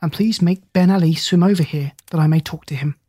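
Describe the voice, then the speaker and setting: A woman voicing a kindly but stern male animal doctor in a children's storybook, speaking in measured, polite commands. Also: on the low side, mellow, slightly rough, no echo, studio quality.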